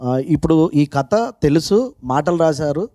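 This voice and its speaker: A man's voice: fairly high pitch, moderate pace, warm tone, faint music behind. A man asking questions casually as the interviewer.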